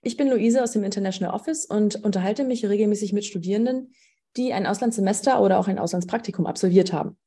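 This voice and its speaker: A woman's voice: mid-range voice, fast, dark tone, husky. A young woman hosting a university podcast, interviewing a fellow student in a friendly, conversational way with some fillers. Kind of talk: casual interview chat.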